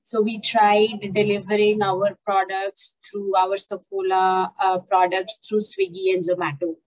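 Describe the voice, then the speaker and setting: A woman's voice: medium pitch, level tone, measured pace, poor audio. A woman in her thirties with an Indian English accent, speaking fluently on a video-call panel, with frequent "you know" fillers. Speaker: panelist, company IT leader. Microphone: webcam on a video call.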